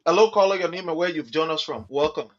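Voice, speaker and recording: A man hosting an online political talk show, loud and urging as he takes callers. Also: on the high side, fast. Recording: dull lo-fi stream audio with clear noise.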